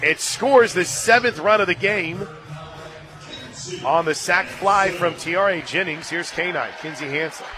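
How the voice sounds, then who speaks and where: A man doing live radio play-by-play of softball, conversational between pitches and excited on the action. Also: measured pace. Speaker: play-by-play announcer. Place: radio booth at an outdoor ballpark. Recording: crowd and stadium music behind. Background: crowd, loudspeaker music.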